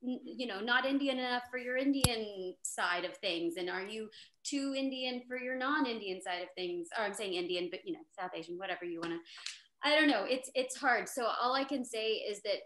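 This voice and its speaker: A woman actor in her thirties telling a story on a video-call panel, animated and chatty, with many fillers and restarts. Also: a little high, brisk, a little hiss or hum. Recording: roomy echo.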